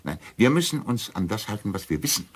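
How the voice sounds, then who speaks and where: A man's voice: on the low side, sing-song, quick pace, breathy edge. An actor playing a pedantic, skeptical, dryly sarcastic male amateur sleuth in a German crime radio drama. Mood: matter-of-fact.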